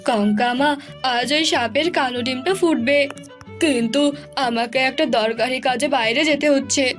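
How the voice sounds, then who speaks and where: A woman's voice: high-pitched, normal speed, soft background music, pitched below the performer's own voice, close to an everyday voice. A woman voicing a commanding, vengeful father king in a cartoon, with formal authority.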